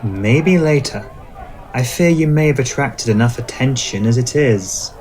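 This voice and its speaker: A courtly male vampire, played by a man, talks slowly and deliberately at a middle pitch. He is suave and romantic yet cautious, gently scolding in the manner of an ASMR roleplay. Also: lively intonation, slight background noise, natural voice. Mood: stern, restrained.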